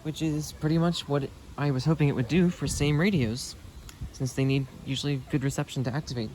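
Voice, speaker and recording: A man's voice: on the high side, steady tone, fairly quick, darkish tone, slight background noise, rough recording. A man giving a casual, unscripted demonstration of radio gear as a hobbyist vlogger, with an enthusiastic manner.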